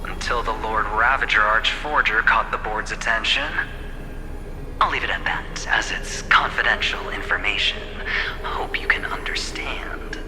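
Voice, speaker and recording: An actor playing a smooth, scheming young male corporate negotiator in a video game, teasing and slipping into soft asides. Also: lowish voice, measured pace, natural voice. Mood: discreet. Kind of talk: game cutscene voice acting. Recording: reverb with game music behind.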